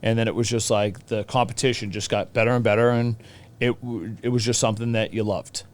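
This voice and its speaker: Casting: male podcast guest in his forties, Boston accent, crisp voice, casual and emphatic talker. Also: medium pitch, level tone, fairly quick. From Boston, USA. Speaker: teacher and hockey coach, podcast guest. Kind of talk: casual interview chat.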